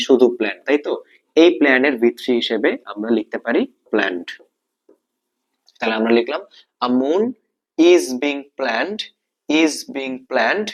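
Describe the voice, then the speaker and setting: A man's voice: a little high, fairly bright tone, dull audio. A man with a Bangladeshi accent teaching an exam-prep lesson, explaining step by step, repeating points and asking check questions. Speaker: English grammar teacher.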